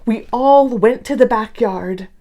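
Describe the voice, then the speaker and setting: A woman's voice: a little high, measured pace, warm tone. A woman voicing a wide-eyed, imaginative young child telling a storybook adventure. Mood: amazed. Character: young child narrator full of wonder and imagination.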